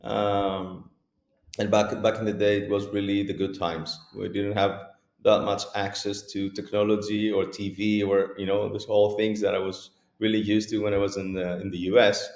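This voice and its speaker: Gravelly low-voiced Greek guest. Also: male voice, normal speed, reverberant. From Greece. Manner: relaxed, chuckling, with frequent fillers. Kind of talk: casual video-call interview.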